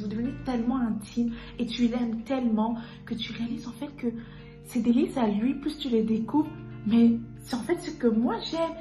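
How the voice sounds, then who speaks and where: A woman in her twenties from Congo, talking casually as a guest about lessons from her year, relaxed and slipping into English phrases now and then. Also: a little high, reverberant. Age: 20s. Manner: relaxed, mixes in English phrases, laughs easily. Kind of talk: casual testimony to camera.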